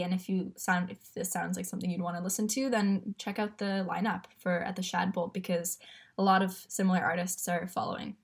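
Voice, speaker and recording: Casual woman giving a review. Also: mid-range voice. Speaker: arts reviewer on a radio show.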